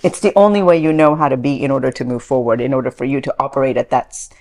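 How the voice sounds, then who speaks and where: A woman's voice: a bass voice, fairly even intonation, quick pace, darkish tone. A woman in her forties, born in Iran, speaking earnestly and reflectively as an interview guest, with frequent restarts and fillers.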